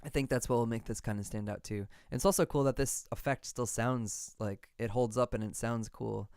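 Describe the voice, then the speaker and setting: A man's voice: mid-range voice, fairly even intonation, fairly quick, a little mellow, smooth, dry recording, clean studio sound. A man chatting casually on a livestream while producing music, thinking aloud in an informal way. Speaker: music producer live streaming.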